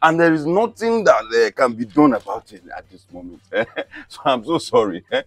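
A man's voice: on the high side, wildly swooping pitch, normal speed, no echo, faint music behind, full character voice. A man playing a car buyer in a comedy skit, chuckling and dismissive. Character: amused man refusing to return a car.

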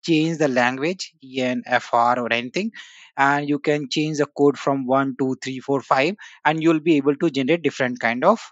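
A man's voice: mid-range voice, moderate pace, dry recording, highs cut off. A man hosting a casual screen-share tech tutorial, talking with many "uh" and "you know" fillers. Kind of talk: screen-share software walkthrough.

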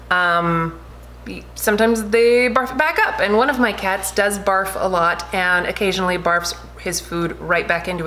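A woman in her thirties chatting casually through a product review vlog at home, animated and talking with her hands, recorded by a camera a little way off. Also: mid-range voice, a little hiss or hum. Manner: chatty, animated, gestures a lot. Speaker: lifestyle vlogger. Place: home room with shelves, indoors. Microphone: desk camera at mid distance.

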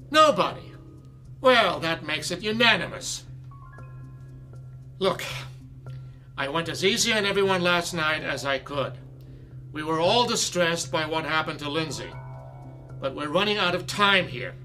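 A man's voice: high-pitched, bright, forceful tone. A man acting in a radio drama as a stern, exasperated theatre director, commanding and sarcastic. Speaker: actor.

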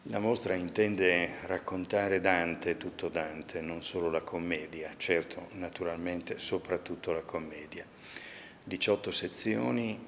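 An older man explains things in an interview, speaking in measured, halting short phrases. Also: sits low. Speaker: exhibition spokesman. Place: large museum sculpture gallery, indoors. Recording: reverberant gallery hall, audible background noise.

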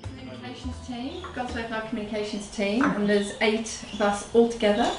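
A woman's voice: a little high, flat delivery, fairly quick, music in the background. A woman presenting a casual cooking segment, chatty and upbeat, asking questions. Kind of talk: casual chat. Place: home kitchen, indoors. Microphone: far camera mic. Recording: echoey kitchen from far off. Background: clattering dishes and cutlery.

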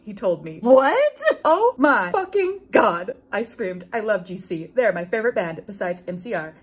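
Young woman w a raspy-ish voice reading stuff out loud at home, animated, snarky, sweary. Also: mid-range voice. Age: young adult. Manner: animated, sarcastic, swears freely. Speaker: book video host. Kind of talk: reading fan fiction aloud with casual commentary. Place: small room at home with bookshelves.

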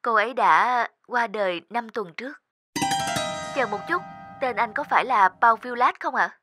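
A woman playing a gentle, sympathetic receptionist in an animated drama, with a polite, measured delivery. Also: a little high, over music, lightly acted.

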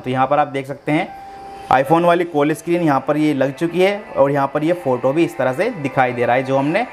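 A man hosting a tech tutorial, explaining phone steps one by one and addressing viewers directly in an instructional way. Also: a little high. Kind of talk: step-by-step phone tutorial narration.

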